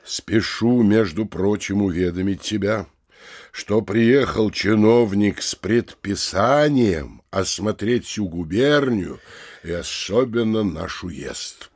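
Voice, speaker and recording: Husky, low-voiced officious town mayor. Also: male voice, a little mellow, no echo, studio quality. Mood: confiding, measured. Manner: deliberate, admonishing, officious. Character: pompous middle-aged provincial town mayor, worried and officious. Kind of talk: theatrical audio drama of classic comedy.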